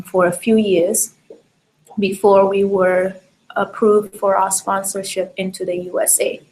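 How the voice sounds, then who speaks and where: Slow, measured woman from South Sudan. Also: medium pitch, rough recording. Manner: slow, measured, with frequent ums. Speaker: model and education advocate. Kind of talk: direct-to-camera campaign talk.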